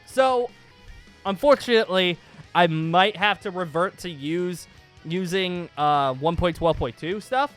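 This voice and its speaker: A man giving a casual gaming channel update as host, rambling along in an excitable, emphatic way. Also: fairly high pitch. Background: background music.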